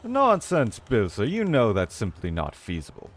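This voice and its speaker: A man's voice: medium pitch, huge pitch swings, normal speed. A man voicing a haughty, commanding female executor of a fallen empire in a dramatic game story reading, imperious and dismissive. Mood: dismissive, lofty.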